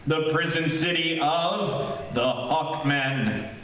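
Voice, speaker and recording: A man's voice: fairly high pitch, takes it slowly, fairly bright tone, a little hiss or hum. A man playing a dramatic narrator, announcing in old-time radio serial style. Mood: suspenseful. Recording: echoey stage, distant mic, music behind.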